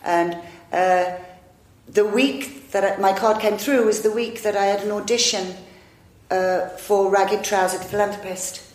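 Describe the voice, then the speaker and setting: A woman's voice: mid-range voice, fairly even intonation, unhurried. A woman in her fifties, an actress, telling long rambling anecdotes in a chatty, self-deprecating way. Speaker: actress and improviser, interview guest. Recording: echoey room.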